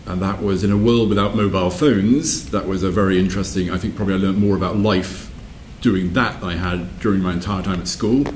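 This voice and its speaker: A man of about sixty reminiscing in an interview, repeating phrases for emphasis between hesitant pauses. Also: deep voice, steady tone, reverberant, a little hiss or hum. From south-west England. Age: around 60. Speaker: interviewee, whisky company managing director. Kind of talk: reflective interview storytelling. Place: wood-panelled room by a fireplace, indoors.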